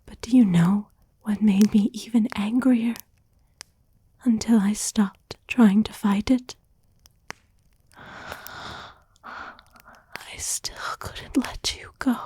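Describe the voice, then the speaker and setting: A woman playing an obsessive, wounded, possessive girlfriend in an intimate, halting, pleading ASMR whisper. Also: a little high, warm tone, husky, no echo, exaggerated voice. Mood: bitter, obsessive. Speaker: ASMR voice actor. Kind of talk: whispered ASMR roleplay monologue.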